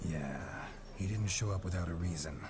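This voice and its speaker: A weary monster hunter voiced by a man in a game cutscene. He talks low and terse, with a dry edge. Music runs behind him. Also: normal speed, lightly acted. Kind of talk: video game cutscene acting.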